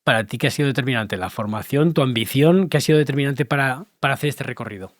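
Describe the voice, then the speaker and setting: A man's voice: mid-range voice, quick pace, no echo. Male podcast host with a mid-range voice, asking short friendly questions; dry close mic. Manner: brief affirmations, friendly questions. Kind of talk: interview questions and sign-off.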